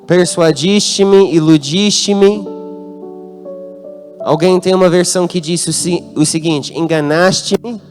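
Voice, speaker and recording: An American man preaches with a voice that sits a touch high for a man. He asks the congregation to call out answers and speaks with an American accent. Soft instrumental music plays faintly underneath. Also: slow pace. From United States. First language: English. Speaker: guest pastor. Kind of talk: sermon. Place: church hall.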